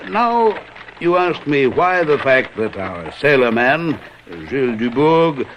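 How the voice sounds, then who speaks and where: An actor playing a brilliant, self-assured detective mentor in a radio drama, teaching in a deliberate, emphatic manner. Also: male voice, medium pitch, sing-song, highs cut off, a little hiss or hum. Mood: instructive, pointed.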